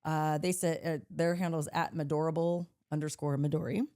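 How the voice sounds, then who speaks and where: A woman with a deeper voice co-hosting a podcast, chatting casually, reading out listener messages and laughing as she swears playfully. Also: steady tone, a little fast, mellow. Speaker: podcast co-host. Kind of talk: casual chat.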